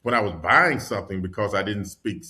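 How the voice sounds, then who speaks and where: A man from North Carolina, a podcast guest and world traveler, telling stories in casual conversation with lively energy and frequent laughter. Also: on the low side, fairly quick. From North Carolina, USA. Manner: animated storyteller, laughs often.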